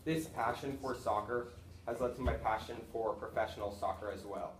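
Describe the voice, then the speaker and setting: A man's voice: lowish voice, normal speed. A young man, giving an earnest prepared speech to classmates in a school hall, with small restarts now and then. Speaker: student speaker. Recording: echoey room with faint noise.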